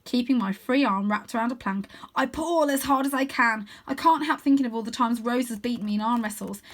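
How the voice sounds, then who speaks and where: A woman reading a children's book aloud as an expressive narrator. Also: on the high side, a little fast. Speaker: storybook reader.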